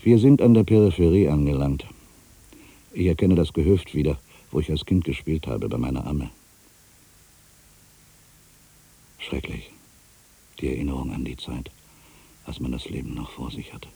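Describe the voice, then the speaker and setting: A man playing an ageing, solitary writer who broods on his past in a deliberate, reflective literary monologue. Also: rumbling low, creaky, warm tone, a little hiss or hum, rough recording. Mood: bitter, melancholy. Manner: brooding, deliberate, with pensive pauses. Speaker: monologue performer. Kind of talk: literary dramatic monologue, reflective first-person narration.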